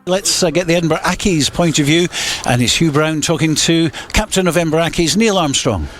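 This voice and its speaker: Fairly high-voiced male radio presenter over music. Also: a little fast, fairly bright tone. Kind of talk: live sports radio link.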